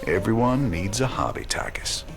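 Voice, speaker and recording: Low, gravelly rebel outlaw. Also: male voice, very animated, normal speed, over music. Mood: wry. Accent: American. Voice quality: low, rough drawl. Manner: dry, determined. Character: brooding rebel outlaw, former frontier marshal, stubbornly defiant. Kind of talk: video game cinematic acting.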